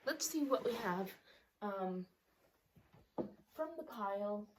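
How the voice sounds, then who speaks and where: A woman's voice: medium pitch, animated, slow pace. A young woman talks casually to camera about her books, rambling and stopping to correct herself. A retainer leaves her speech a little thick. A faint hiss and small clicks sit under the recording. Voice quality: slightly altered by a retainer. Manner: rambling, self-correcting, with many ums. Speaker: book vlogger. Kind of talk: casual chatty vlog. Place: outdoors or by a sunny window at home.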